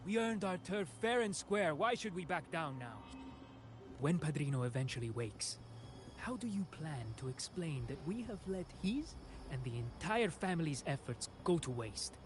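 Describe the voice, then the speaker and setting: A man's voice: on the high side, big pitch swings, normal speed, noisy background. A man playing a hot-blooded young mafia member in a video game, urgent, insistent and challenging. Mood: indignant.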